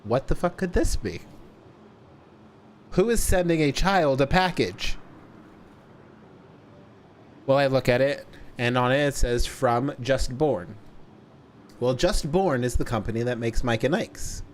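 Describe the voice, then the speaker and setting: A man telling a story casually to a livestream audience, deliberately stretching words for emphasis. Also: medium pitch, animated, slight background noise.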